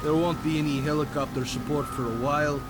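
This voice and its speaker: A man playing a measured, authoritative military colonel in a video game, steady and burdened with responsibility. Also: a little high, very noisy, close to an everyday voice. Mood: businesslike. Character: commanding military colonel, steady, burdened, looks after his men. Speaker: military commander character.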